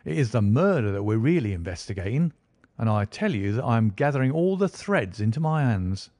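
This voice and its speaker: A man's voice: mid-range voice, very animated, brisk, mellow, no echo, studio quality, natural voice. A man reading an audiobook, voicing an eager, self-satisfied male police inspector who lectures with confidence. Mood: eager, boastful. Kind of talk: audiobook character voice.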